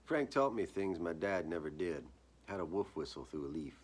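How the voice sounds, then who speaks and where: Very deep, husky-voiced man reading. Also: very animated, normal speed. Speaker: author reading own short story. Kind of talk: dramatic live reading of literary horror fiction.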